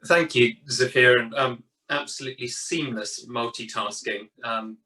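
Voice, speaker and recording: A man's voice: mid-range voice, normal speed, sharp tone, distant mic, poor audio. A middle-aged man interviewing a guest on a video call from a study, with a hesitant delivery full of ums and repeated words. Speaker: interviewer, board chair. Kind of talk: hosting a webinar interview. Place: home study with bookshelves. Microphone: webcam on video call.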